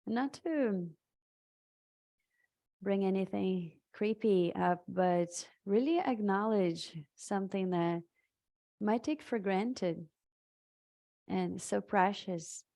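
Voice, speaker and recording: Very slow, creaky-voiced woman guiding meditation. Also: mid-range voice, dark tone. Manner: soothing, unhurried, halting with long pauses. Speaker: meditation guide. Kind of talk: live guided meditation.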